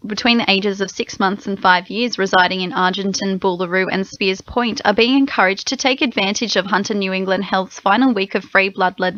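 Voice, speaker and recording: A woman's voice: medium pitch, a bit bright, no room sound. Australian woman reading radio news, slightly dull sound. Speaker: newsreader. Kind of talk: scripted radio news bulletin. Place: dry radio studio.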